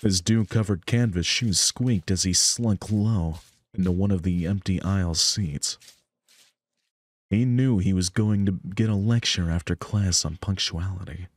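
A man's voice: deep voice, unhurried, no room sound. A young man narrating a visual novel as a storyteller. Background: music.

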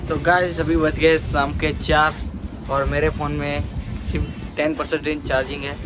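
A young man talks casually to his vlog viewers in a slightly high voice. His delivery stays flat and even. Music plays clearly behind him. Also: unhurried. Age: young adult. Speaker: vlogger. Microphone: phone at arm's length.

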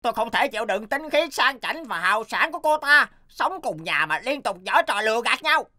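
A woman's voice: fairly high pitch, big pitch swings, a little fast, sharp tone. A woman voicing an exasperated, long-suffering husband in a comedy cartoon, grumbling and flustered. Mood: fed up. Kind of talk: animated comedy cartoon dub.

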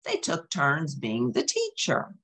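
A woman reading a storybook aloud to young children, expressive and explanatory, adding casual comments and exclamations. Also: sits low, takes it slowly, rough recording. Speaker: storybook reader.

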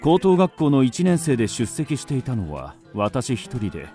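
An actor narrating a radio drama as a wry, self-assured writer, deliberate and dryly ironic. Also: male voice, medium pitch, wildly swooping pitch, dark tone, soft background music. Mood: reflective.

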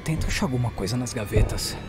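A man's voice: medium pitch, quick pace, natural voice. An actor playing an injured, desperate, foul-mouthed Brazilian man in an audio drama, urgent and emotional. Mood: tense. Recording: music over the voice.

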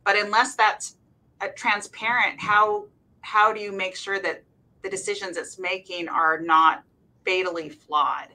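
A woman's voice: medium pitch, steady tone, unhurried, bright, forceful tone, low-quality recording. A woman on a faculty panel, talking informally and casually over a video call. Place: office, on a group video call.

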